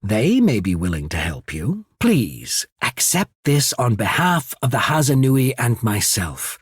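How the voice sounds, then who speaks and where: An actor playing a cool, shrewd, courteous military official in a video game, speaking in a measured, formal, husky way. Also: male voice, medium pitch, sing-song, no echo, studio quality, full character voice. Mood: businesslike, courteous. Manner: measured, formal, subtly calculating. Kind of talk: scripted game character dialogue.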